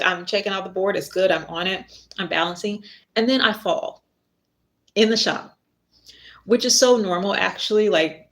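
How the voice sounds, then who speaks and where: A woman's voice: lowish voice, a bit bright. A middle-aged woman chatting casually on a live stream, rambling a little and laughing at herself as she reads from her book. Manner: rambling, chatty, self-deprecating. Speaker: author and spiritual worker hosting a live stream.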